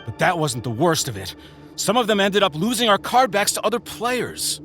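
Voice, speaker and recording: A jumpy thief with a high, swinging voice that runs up and down as he explains himself. He sounds agitated and in a hurry. Game music sits softly behind him. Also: sing-song, moderate pace. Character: excitable male treasure-hunting thief confessing to a scheme. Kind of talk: game cutscene voice acting.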